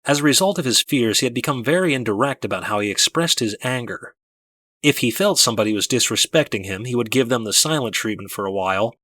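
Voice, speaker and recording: A man narrating a first-person story from a script in a measured, dramatic storytelling manner. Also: mid-range voice, sharp tone, no room sound. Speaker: audiobook narrator.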